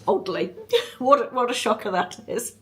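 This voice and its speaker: A woman's voice: on the high side, normal speed, a bit bright. A British woman in her late fifties talks chattily to camera, rambling and digressing with frequent small chuckles, recorded on the camera mic with no lapel mic. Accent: British English. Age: 50s. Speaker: vlogger.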